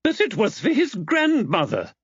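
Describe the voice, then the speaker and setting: Guy doing a nosy prim spinster, very high for him, indignant, audiobook, bit muffled. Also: huge pitch swings, normal speed, breathy edge, no echo, full character voice. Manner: indignant, insistent.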